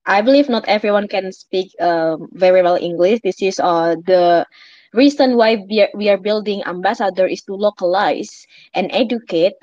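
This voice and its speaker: A woman from Indonesia with an Indonesian accent, speaking English as a second language and answering questions in a live audio Q&A, pausing often with fillers. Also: mid-range voice, a little mellow, dry recording. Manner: hesitant, many fillers. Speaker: project representative answering questions. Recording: dull, lo-fi online audio.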